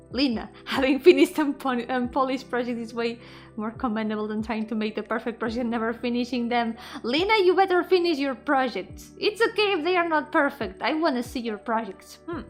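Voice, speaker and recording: A woman chatting casually on a livestream, effusive and giggly, often repeating words and trailing off. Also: a little high. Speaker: VTuber streamer and indie game developer. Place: indoor solo livestream with music behind the voice.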